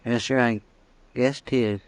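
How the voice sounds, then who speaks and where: An actor playing a deferential, plaintive frontier deputy with a rural American Western drawl, in old radio drama style. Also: male voice, medium pitch, steady tone, slow pace, clear, resonant tone, lightly acted. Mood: subdued. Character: folksy, anxious, deferential deputy of a frontier marshal. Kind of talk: 1950s radio drama acting.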